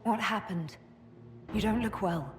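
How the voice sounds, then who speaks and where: An actress playing an earnest, compassionate heroine in a video game, sincere and reassuring. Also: female voice, medium pitch, measured pace, close to an everyday voice. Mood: concerned. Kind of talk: dramatic video game cutscene acting. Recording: echoey hall, faint noise.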